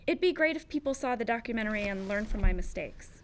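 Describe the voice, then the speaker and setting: A woman acting a gentle, reflective office worker in a sitcom confessional. Her voice is fairly high, earnest and heartfelt. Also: lively intonation, quick pace, slight background noise, natural voice. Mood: earnest, wistful. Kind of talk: sitcom table read, confessional monologue.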